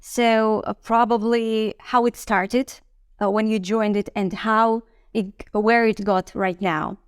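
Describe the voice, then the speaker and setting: A woman's voice: on the high side, fairly even intonation, slow pace, clear, resonant tone, dry recording, clean studio sound. A woman hosting an online interview, friendly and encouraging, with fillers. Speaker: interviewer, host. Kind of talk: interview, conversational.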